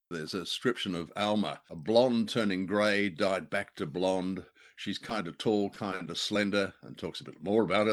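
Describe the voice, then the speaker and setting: A man's voice: fairly low pitch, fairly bright tone. A man chatting casually and jokily as co-host of a review show, fond of playful wordplay.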